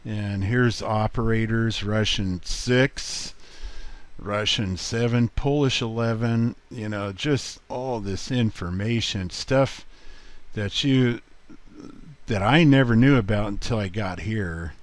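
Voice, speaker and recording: Slow-talking man, low-pitched and unhurried. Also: dry recording. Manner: rambling, halting, thinking aloud. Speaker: host of a radio hobby video. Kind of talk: casual screen walkthrough commentary.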